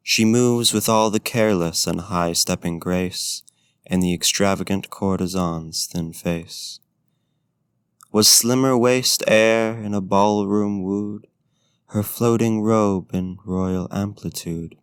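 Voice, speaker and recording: A man's voice: dry recording, clean studio sound. A man playing a courtly, bookish, flirtatious vampire in an intimate ASMR roleplay, speaking with formal, genteel phrasing. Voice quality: smooth, deep. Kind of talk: ASMR roleplay, intimate talk and poetry reading.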